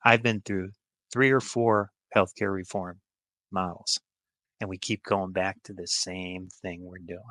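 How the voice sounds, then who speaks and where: Low, bright-voiced American man, dry sound. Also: fairly even intonation, a little slow, no room sound. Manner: informal, plain-spoken, emphatic. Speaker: podcast guest, healthcare executive. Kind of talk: conversational interview answers.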